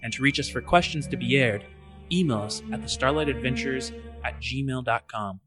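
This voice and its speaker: A man's voice: mid-range voice, normal speed. Male podcast announcer over music. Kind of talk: scripted outro narration.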